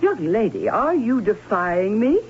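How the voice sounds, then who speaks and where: An actress playing a fearful, anxious aunt in an old radio drama, speaking in a halting, dramatic way. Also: female voice, mid-range voice, sing-song. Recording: muffled, hissy old radio broadcast.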